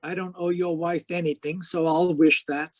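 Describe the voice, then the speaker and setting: Man playing a higher-voiced, wisecracking hard-boiled private eye. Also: moderate pace, lightly acted. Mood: sardonic. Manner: wisecracking, deadpan. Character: cynical hard-boiled male private detective. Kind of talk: 1940s radio noir acting and narration.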